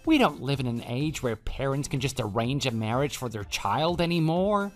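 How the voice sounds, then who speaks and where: A man's voice: mid-range voice, animated, normal speed, natural voice. Radio drama suitor: actor as lovesick, deluded young man, insistent and pleading, music bed. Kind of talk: scripted radio drama dialogue.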